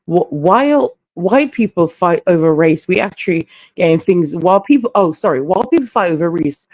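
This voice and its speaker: A woman's voice: lowish voice, expressive, normal speed, darkish tone, no room sound. A woman from Canada hosting a casual live stream, reading out viewer comments and giving her opinions candidly.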